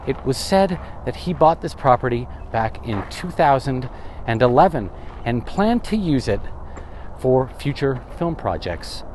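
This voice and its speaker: Lively chatty man touring desert ruins. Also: mid-range voice, measured pace, a little hiss or hum. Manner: chatty, wry asides, self-questioning. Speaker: travel vlogger. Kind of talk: casual walking tour narration. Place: outdoors in open desert. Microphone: camera or phone mic, handheld.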